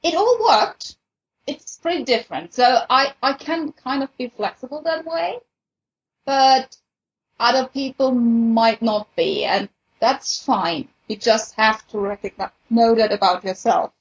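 Woman, husky, slightly high pitch, casual podcast talk, dull lo-fi recording. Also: lively intonation, a little slow. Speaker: podcast participant, fan event organiser.

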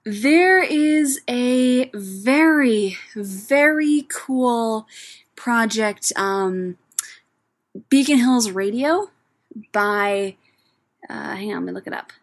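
A woman's voice: on the high side, takes it slowly. A woman leaving an informal recorded recommendation as a listener, piecing her thoughts together as she goes. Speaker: listener giving a talkback recommendation.

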